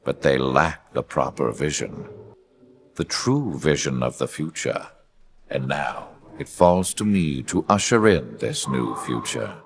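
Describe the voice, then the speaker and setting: A man voicing a cold, arrogant superhuman villain for a video game, speaking with smug, deliberate menace and theatrical mockery. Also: a bass voice, animated, exaggerated voice. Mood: grandiose. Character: cold, arrogant male villain, superhuman schemer with sinister calm.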